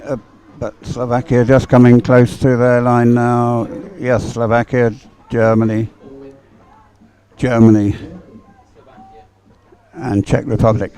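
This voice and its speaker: A man's voice: mid-range voice, level tone, slow, pauses often, dark tone, low-quality recording. A man giving live sports commentary on a race as it unfolds.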